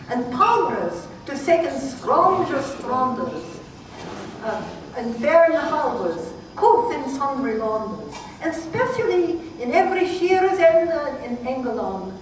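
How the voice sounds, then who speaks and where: High-voiced woman reciting in very echoey room. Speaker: performer at a recitation event. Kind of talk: recitation of Middle English verse. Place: indoor hall at a live gathering. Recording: very echoey room, distant, faint noise.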